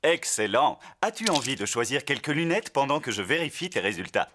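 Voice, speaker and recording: A man voicing a gentle, encouraging optician in a French-dubbed animal cartoon for young children. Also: medium pitch, big pitch swings, a little fast, close to an everyday voice. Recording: music behind the voice.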